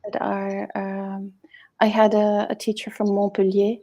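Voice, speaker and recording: Female co-host, mid pitch, gravelly texture, calm and measured in casual live chat. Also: muffled recording.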